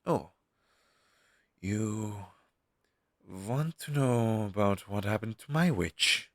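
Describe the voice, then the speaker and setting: A man's voice: fairly low pitch, animated, no echo, studio quality. A man playing a down-on-his-luck, friendly crow demon, once a witch's familiar, talking hesitantly to the listener in an audio roleplay. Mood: reminiscing. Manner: conversational, hesitant, with sighs and pauses.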